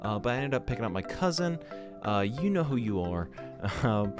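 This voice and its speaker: A young man from Georgia hosts a gaming channel vlog and talks quickly and excitedly, with a stutter and many fillers. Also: mid-range voice, music in the background. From Georgia, USA. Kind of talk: casual vlog talk to camera.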